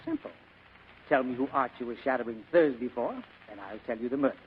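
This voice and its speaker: On a thin, noisy old radio recording, a man plays a district attorney of middling pitch. He is officious and self-important, pressing his point with formal insistence in classic radio drama style. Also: lively intonation, fairly quick, phone-quality sound, natural voice. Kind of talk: 1940s-style radio acting.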